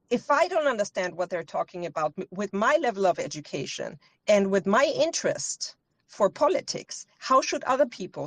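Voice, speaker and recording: A woman lays out her views in a long, earnest explanation, stopping often to restart a phrase. Her voice sits at a middle pitch for a woman. The sound is dry and close, with no room around it. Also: no room sound. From Austria. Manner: earnest, lecturing, many self-corrections. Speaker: guest expert. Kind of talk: interview answers, explaining at length.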